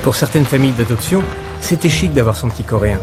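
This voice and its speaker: A middle-aged man narrating a film in a calm, introspective voice-over. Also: mid-range voice, fast. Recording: voice-over with loud music behind.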